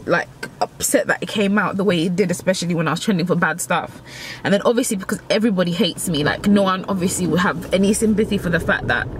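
Young female vlogger, medium pitch, slangy and rambling, speaking in a car with heavy background noise. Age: young adult. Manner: slangy, rambling, emphatic repetition. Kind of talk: candid casual talk to camera. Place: inside a car, in the driver's seat. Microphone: camera mounted near the dashboard. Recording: loud car and outdoor noise.

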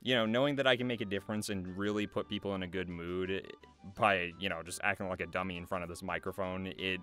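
A young man with a fairly low voice talks casually to his viewers. His delivery is earnest and a little hesitant, as if loosely following notes. Faint music plays behind him. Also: steady tone, quick pace, bright, forceful tone. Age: young adult. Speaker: voice actor and video creator. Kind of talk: casual thank-you address to camera, loosely scripted. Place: small foam-treated recording booth. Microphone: large studio microphone close by.

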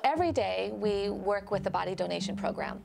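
A middle-aged woman speaks into a lapel mic in a book-lined office, explaining her work at an even pace. Her voice carries heavy vocal fry, and a music bed runs underneath. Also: mid-range voice, a little fast. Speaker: forensic center staff. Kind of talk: interview answers. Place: office with bookshelves.

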